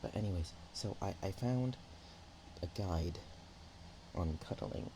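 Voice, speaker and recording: A man voicing a shy, playful young dragon-dog girl in an ASMR roleplay, speaking softly and haltingly with naive affection. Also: low voice, background noise. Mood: bashful, naive. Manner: hesitant, halting, giggly, soft-spoken. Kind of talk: ASMR roleplay, one-sided intimate chat.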